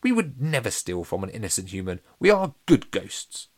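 A man's voice: lowish voice, very animated, normal speed, dry recording. A man voicing a friendly eleven-year-old boy ghost in a storybook, apologetic and embarrassed by his father. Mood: hurt. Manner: apologetic, gently reproachful.

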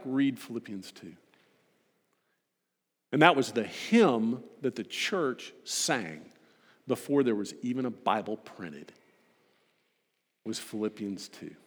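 A man delivering a sermon to a congregation as a preacher, emphatic and rhetorical, building points through repetition and pointed pauses. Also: mid-range voice. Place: large reverberant hall. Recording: long hall reverb.

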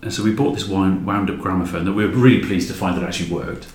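A man's voice: deep voice, fairly even intonation, fast talker, a little mellow. A man telling anecdotes informally as a guest in a casual podcast chat. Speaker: podcast guest, show creator. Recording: roomy, echoey sound.

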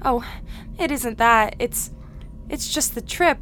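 Casting: actress as doting, anxious mother for radio drama, highish voice, pleading, faint music behind. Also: takes it slowly, fairly bright tone. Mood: worried, pleading. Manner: fretful, pleading. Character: anxious, doting mother seeing her grown son off.

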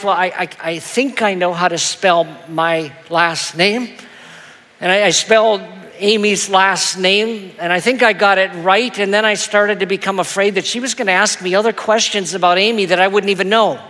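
A male pastor telling a story in a sermon, with a soft, soothing voice and a gentle, self-deprecating manner that stumbles into little repeats. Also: sits high, moderate pace. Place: church auditorium. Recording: long hall reverb.

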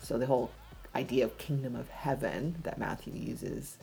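A woman's voice: very deep voice, lively intonation, normal speed, creaky, warm tone, rough recording. A woman co-hosting a casual faith discussion, eagerly jumping in to build on the ideas being talked through. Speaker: podcast co-host.

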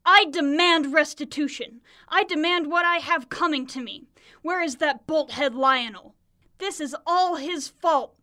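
Indignant, very high-voiced little girl. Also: a little slow, bright, forceful tone. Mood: outraged. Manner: melodramatic, self-righteous complaining. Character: indignant, whiny, demanding young girl, little sister. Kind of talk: comic radio play acting.

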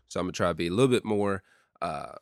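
Young adult youth pastor, very low deep voice, dry close sound, relaxed podcast chatter. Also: male voice, vocal fry, no room sound. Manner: steady and conversational with many ums and uhs. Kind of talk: casual solo podcast talk.

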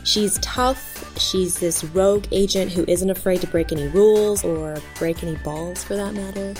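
A woman with a medium-pitched voice talks casually to listeners as a voice actor introducing herself. Faint music plays behind her. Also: fairly even intonation, moderate pace. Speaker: voice actor and dialect coach.

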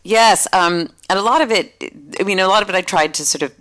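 A woman's voice: mid-range voice, fast, a bit bright. A woman from the United States, chatting as a podcast guest, talking in a loose way full of "like" and acted-out quotes. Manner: chatty, many "like" asides, acts out quotes. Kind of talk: casual conversation.

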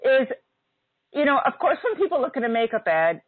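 A woman's voice: high-pitched, very animated, fairly quick, no room sound. A woman in her forties hosting a casual chat, talking emphatically and chattily. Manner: chatty, emphatic, laughs often. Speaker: host. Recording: tinny phone-like line.

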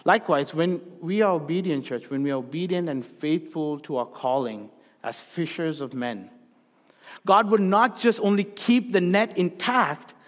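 A fairly high-pitched male preacher who grew up in Sri Lanka, delivering a sermon in a church. He repeats key phrases for emphasis and swings between raised passages and hushed ones. His voice rings out with a long hall reverb. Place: church sanctuary.

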